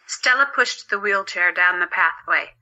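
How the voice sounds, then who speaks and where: Very bright, lowish-voiced woman narrator. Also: a little slow, dull audio. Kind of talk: scripted story narration.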